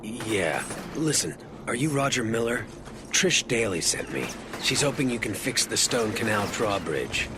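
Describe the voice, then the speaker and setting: Very low, gravelly brooding hero. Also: male voice, sing-song, a little slow, noisy background. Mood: grim, determined. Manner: terse, low-key. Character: gruff, brooding young male hero with powers. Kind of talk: video game cutscene acting.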